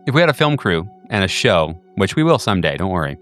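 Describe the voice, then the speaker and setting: A man narrating as game master of a tabletop horror game, talking in a halting way with many restarts. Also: sits low, very animated, fairly bright tone, soft background music.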